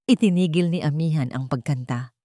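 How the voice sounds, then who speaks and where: Mellow, low-pitched woman narrator. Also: a little fast, dry recording, clean studio sound. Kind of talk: scripted dramatic story narration.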